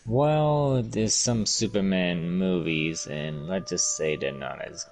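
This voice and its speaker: A man with a very deep voice chats casually with a friend. He answers in short, dry counterpoints, and a faint hiss sits under his voice. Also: takes it slowly, darkish tone.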